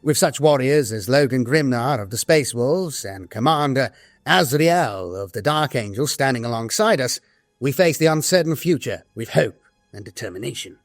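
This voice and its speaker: A man voicing a grave, dutiful staff officer of a far-future army in an audio drama, formal, solemn and measured. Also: mid-range voice, expressive, no echo, close to an everyday voice. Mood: formal, resolute. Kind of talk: scripted audio drama narration of war journals and briefings. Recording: music behind a studio voice.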